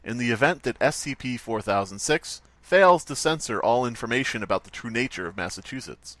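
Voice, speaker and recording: A man's voice: medium pitch, expressive, fairly quick, a bit bright, no room sound. A man co-hosting a podcast in casual, joking conversation. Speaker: podcast co-host. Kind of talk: casual comedic chat.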